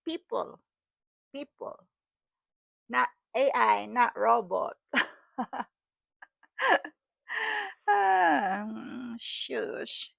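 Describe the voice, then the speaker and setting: Filipina woman, Cebuano accent, middle-aged, slightly high voice, rambling and repetitive, dry close sound. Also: expressive, drawn-out words, creaky, pauses often, a bit bright, dry recording, lo-fi sound. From Philippines. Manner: rambling, repeats phrases, laughs often. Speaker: wellness vlogger. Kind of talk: casual talk to camera.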